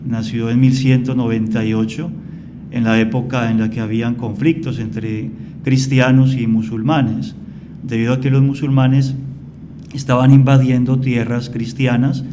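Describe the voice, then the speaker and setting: Priest, calm and explanatory. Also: male voice, medium pitch, background noise. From Colombia. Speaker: priest guest. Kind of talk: radio interview.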